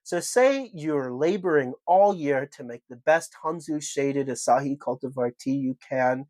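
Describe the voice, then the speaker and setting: A man's voice: medium pitch, lively intonation, unhurried, a little mellow, no echo. A man co-hosting a podcast, laying out his argument point by point with emphasis. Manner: emphatic, builds arguments in lists. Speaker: podcast co-host.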